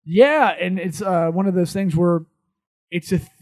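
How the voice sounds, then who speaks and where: A man hosting a podcast in casual chat, blunt and profane, joking and talking loud. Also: sits high, moderate pace, no room sound, clean studio sound. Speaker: podcast host. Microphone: studio microphone.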